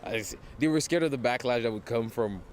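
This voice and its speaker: A man's voice: mid-range voice, a little fast, slight background noise. Hesitant young man. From Quebec. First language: French. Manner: hesitant, restarts sentences. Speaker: rapper interviewed. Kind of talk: casual interview answers.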